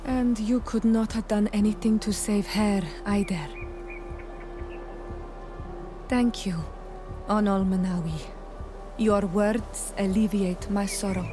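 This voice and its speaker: A woman's voice: mid-range voice, fairly even intonation, measured pace, close to an everyday voice. An actress playing a proud, fierce young native islander woman in a video game, speaking earnestly and solemnly. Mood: sorrowful. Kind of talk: video game dialogue acting. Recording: game dialogue under prominent music.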